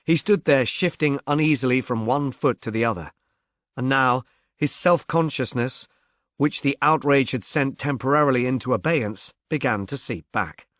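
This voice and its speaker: A man narrating a mystery novel in a measured, literary storytelling manner. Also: medium pitch, a bit bright, no room sound, clean studio sound. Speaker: audiobook narrator.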